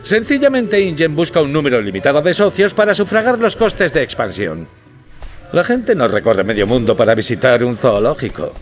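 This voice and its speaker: An actor playing a smug corporate executive, assured and salesmanlike, in dubbed film-style dialogue. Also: male voice, a little high, sing-song, normal speed, lo-fi sound, faint music behind. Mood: smug, persuasive. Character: smug corporate executive pitching a business plan.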